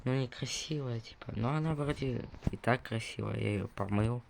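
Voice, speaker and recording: A man's voice: mid-range voice, normal speed, vocal fry, noisy background. A young man casually commenting on his gameplay, rambling and correcting himself as he talks to viewers, recovering from a cough. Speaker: gaming video creator.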